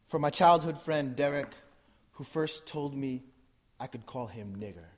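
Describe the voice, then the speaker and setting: Poet guy from jersey, mid-pitched voice, reads slow and intense then gets chatty with the crowd. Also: animated, a little hiss or hum. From New Jersey, USA. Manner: measured, intense recitation, then chatty and laughing with listeners. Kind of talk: poetry reading and audience talk. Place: live event in front of an audience.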